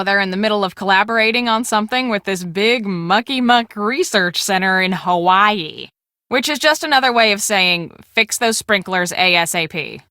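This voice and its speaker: A woman playing a busy, bossy young storm-chase researcher in a video game, chatty and brisk as she gives instructions. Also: medium pitch, bright, forceful tone, no room sound, clean studio sound, lightly acted. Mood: candid, wry. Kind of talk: scripted game dialogue.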